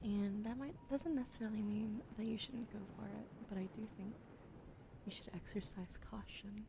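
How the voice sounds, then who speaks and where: Young woman, soft ASMR whisper, allergy cough, apologetic, mid pitch, noisy background. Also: fairly even intonation, normal speed, gravelly. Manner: apologetic, thanks followers by name. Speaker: ASMR streamer.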